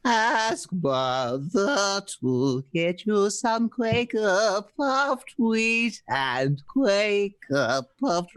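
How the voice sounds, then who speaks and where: A playful amateur narrator reads a radio script very slowly and with ham. The delivery is halting, breaking off into sweary asides, in a dry, close sound. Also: high-pitched, a bit bright, no echo. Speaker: narrator and performer in radio play readings.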